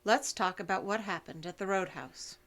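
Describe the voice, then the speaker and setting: A woman's voice: mid-range voice, normal speed, a bit bright, a little hiss or hum, lightly acted. An actress playing a persistent, businesslike female investigator in an audio drama, formal, dry and controlled. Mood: measured, pressing.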